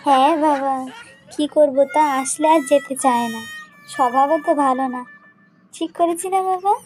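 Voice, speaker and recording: Woman playing a cartoon mum, high voice, scheming, conspiratorial, music behind. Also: normal speed, natural voice. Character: scheming young mother, conspiratorial with her son. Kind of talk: cartoon voice acting.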